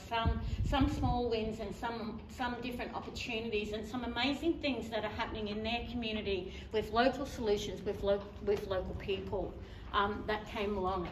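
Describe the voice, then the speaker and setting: Woman, fairly high voice, chatty conference storytelling, fillers, echoey hall, distant mic. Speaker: community member presenter. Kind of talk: conference presentation. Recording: echoey room, mic at a distance, faint noise.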